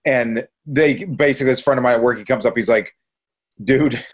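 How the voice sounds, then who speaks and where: A man's voice: mid-range voice, moderate pace. A man co-hosting a podcast, talking casually about horror films in a blunt, opinionated way. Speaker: podcast co-host.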